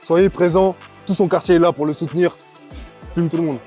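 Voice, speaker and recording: High-pitched man hyping a fighter. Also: fairly even intonation, fairly quick, dark tone, dull audio, soft background music.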